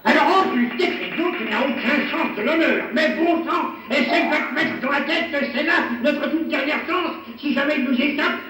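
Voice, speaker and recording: A man's voice: very high-pitched, moderate pace, few pauses, pushed and bright. A man voicing a hot-headed, bossy, self-important police detective in a French-dubbed cartoon, scolding and obsessive. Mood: furious. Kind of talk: French cartoon dub acting. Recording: very echoey, distant, faintly noisy cartoon soundtrack.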